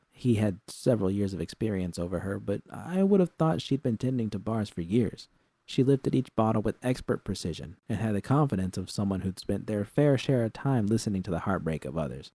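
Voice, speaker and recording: Guy reading a story out loud, low husky voice, really dry recording. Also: no room sound. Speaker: narrator of fan fiction. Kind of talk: scripted first-person story narration.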